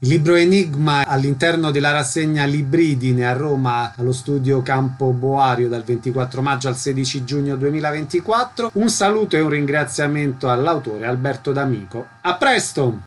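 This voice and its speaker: A man's voice: mid-range voice, moderate pace, hardly any pauses, a little hiss or hum. A man hosting a radio interview, giving short questions and tidy announcements.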